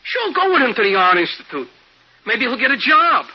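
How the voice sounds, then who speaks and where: Casting: meek, easily provoked shop worker, high voice, halting talk, muffled vintage radio recording. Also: male voice, very animated, breathy edge, heavily acted. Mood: jealous, defiant. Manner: hesitant, plain-spoken. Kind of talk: 1950s radio drama acting.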